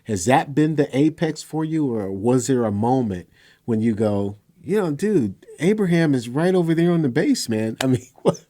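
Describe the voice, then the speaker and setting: A man hosting a casual interview, asking questions in an expressive, conversational way and acting out imagined quotes. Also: mid-range voice, moderate pace.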